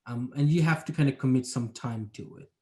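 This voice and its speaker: A man's voice: mid-range voice, a little fast, dark tone. A young adult man from Bangladesh, talking about his planning job in a casual interview, reflective and hedging with many fillers. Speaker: urban planner, guest.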